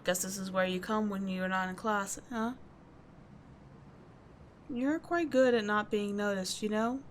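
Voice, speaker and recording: A woman playing a tough but kind teenage delinquent schoolgirl in an audio roleplay, talking casually and bluntly to the listener, with swearing and halting, confiding asides. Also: medium pitch, steady tone, a little hiss or hum, lightly acted. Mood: sincere, grateful.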